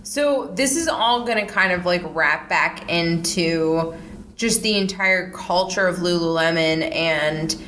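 A woman's voice: on the low side, takes it slowly, fairly bright tone. Woman podcast host, casual chatty storyteller, fillers, faint noise under her voice. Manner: conversational with frequent "like" fillers. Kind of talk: casual true-crime podcast chat.

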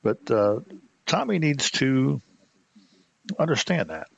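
A man giving explanatory commentary as a host, in a halting, reflective manner. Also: mid-range voice, sing-song, gravelly. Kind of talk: explanatory commentary on old radio. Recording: slightly muffled, dull sound.

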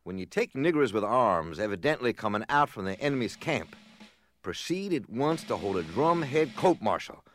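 A man performs a merciless Confederate officer in a dramatic reading. He is blunt and commanding, his pitch swinging widely, with noise in the background. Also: big pitch swings, normal speed, close to an everyday voice. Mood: cold, commanding. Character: merciless Confederate officer issuing harsh orders. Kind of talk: dramatic reading of a military order.

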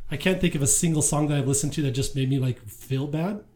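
A man's voice: fairly high pitch, fast talker, dark tone. A man, a band founder, chatting laid-back in a podcast interview, laughing and swearing freely.

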